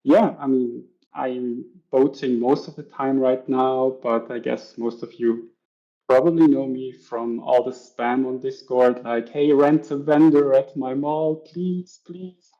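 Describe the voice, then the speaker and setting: Swiss german accent guy, chatty podcast guest, mellow voice, muffled audio. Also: medium pitch, steady tone, slow. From Switzerland. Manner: chatty, acts out voices in stories. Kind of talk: casual podcast interview.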